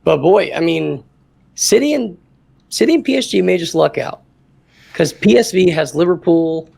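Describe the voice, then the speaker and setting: A man's voice: a little high, animated, normal speed, vocal fry, darkish tone, slightly rough. A man co-hosting a casual soccer podcast, chatty and leaning on "I mean". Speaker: podcast co-host. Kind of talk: casual sports podcast chat.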